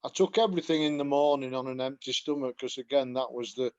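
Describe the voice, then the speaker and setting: A man's voice: mid-range voice, fast talker, dull audio. A man with a Yorkshire accent, a guest on a video call, telling his story in a chatty, self-deprecating way with frequent laughs. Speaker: guest giving a testimonial. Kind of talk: casual video call chat.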